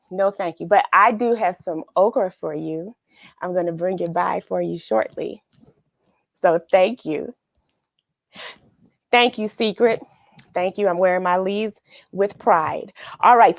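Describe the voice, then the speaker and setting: A woman's voice: medium pitch, no room sound. A woman hosting a children's read-along in a casual, effusive way, with sing-song phrasing and repeated words. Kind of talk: casual livestream greetings and picture-book read-aloud.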